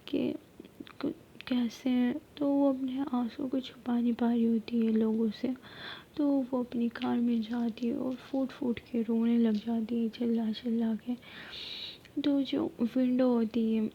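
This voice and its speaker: A woman tells a story almost entirely in a soft, steady whisper. Her voice sits a little high but hardly moves in pitch. The recording sounds a touch dull, with a faint rumble underneath.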